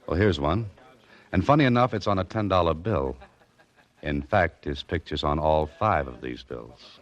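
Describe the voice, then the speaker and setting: A man's voice: very deep voice, normal speed, highs cut off. An actor playing a wisecracking, hard-boiled detective who narrates his own case in an old radio drama. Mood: wry. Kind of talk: 1940s radio acting.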